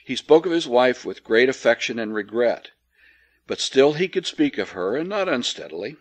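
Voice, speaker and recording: A man reads a classic ghost story as an audiobook narrator. His delivery is measured and formal, with a dryly cynical edge. The voice sits at a middling pitch. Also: big pitch swings. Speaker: audiobook reader. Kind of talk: dramatic scripted narration of an old ghost story.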